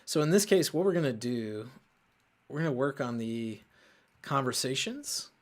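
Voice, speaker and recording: A man hosting a casual coding walkthrough, thinking aloud in a halting way as he works and often muttering to himself. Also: mid-range voice, lively intonation. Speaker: host of a coding show.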